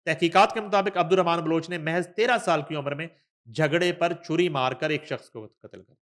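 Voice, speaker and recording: A man with a Pakistani accent hosting a news talk show, reading an article aloud and adding conversational asides in an emphatic, deliberate manner. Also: on the high side, fairly bright tone.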